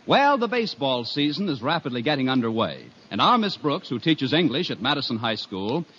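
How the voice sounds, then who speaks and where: A man's voice: medium pitch, big pitch swings, a little fast, a bit bright. A man announcing in scripted 1950s radio style, with a polished sales delivery. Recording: dull, noisy vintage 1950s radio recording.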